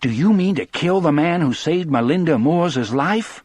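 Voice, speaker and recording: A man's voice: on the high side, lively intonation, a little slow, darkish tone, dry recording, voice pushed up. A man voicing a fierce, determined and smart wife in an audiobook, emphatic and indignant. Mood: furious, accusing.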